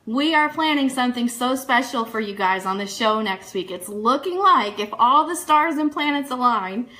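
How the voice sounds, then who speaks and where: Upbeat lady hosting a live fan news show, kinda high really bright voice, chatty, talks right to the listeners. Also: expressive, normal speed. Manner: enthusiastic, upbeat, addresses listeners directly. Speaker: radio show host. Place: studio.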